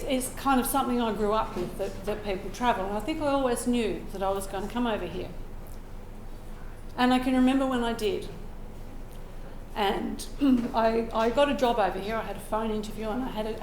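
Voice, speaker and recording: An Australian woman speaks to an audience in a slightly high voice, a little hoarse from a cold. She is earnest and reflective and clears her throat now and then. The room is echoey, with steady background noise. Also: brisk. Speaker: open mic storyteller. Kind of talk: personal story told to a live audience.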